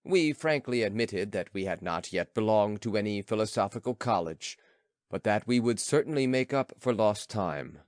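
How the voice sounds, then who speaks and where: A man's voice: on the low side, expressive, normal speed, a little mellow, no echo, clean studio sound. A man narrating a literary audiobook from a script.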